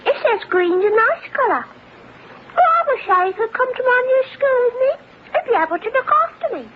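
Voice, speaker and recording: A woman's voice: extremely high pitch, expressive, a little fast. A woman playing a chatty, innocent five-year-old girl in a radio drama, earnest and childlike. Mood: eager. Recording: dull lo-fi radio recording, faint noise.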